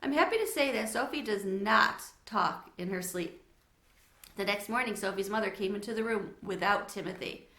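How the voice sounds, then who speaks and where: Bright-voiced woman reading a storybook aloud. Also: medium pitch, moderate pace. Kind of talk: expressive children's storybook read-aloud with asides to listeners. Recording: echoey untreated room.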